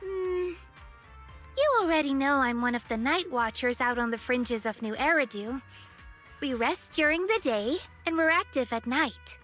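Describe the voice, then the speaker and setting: An earnest young game heroine with a notably high voice and unhurried delivery. She is a whimsical, affectionate night watcher who speaks like a storyteller, with music playing underneath. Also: lively intonation, fairly bright tone. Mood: solemn storytelling. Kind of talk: video game voice acting, story dialogue.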